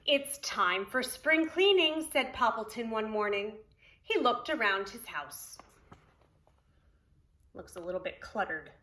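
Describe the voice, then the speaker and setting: A woman's voice: a little high, pushed and bright. A woman preschool teacher reading a story aloud to young children, expressively, with simple explanatory asides.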